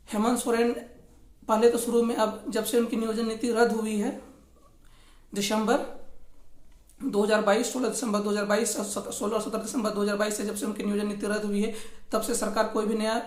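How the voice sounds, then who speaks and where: A woman from explains the news informally as a channel host, often circling back over her phrases. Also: fairly high pitch. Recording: echoey, roomy sound.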